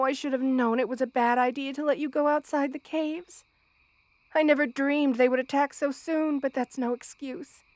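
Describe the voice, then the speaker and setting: Woman playing a high-voiced, motherly spider queen. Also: no echo. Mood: worried, relieved. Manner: tender, doting, softly commanding, formal wording. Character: motherly female spider queen, kind, protective, regal monster. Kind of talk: ASMR roleplay audio drama.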